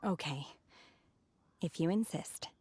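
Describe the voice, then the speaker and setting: Video game hermit disciple: young, calm, stiffly formal, with a low-set voice. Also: female voice, slow pace, heavy background noise. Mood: calm, reserved. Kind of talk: video game character acting.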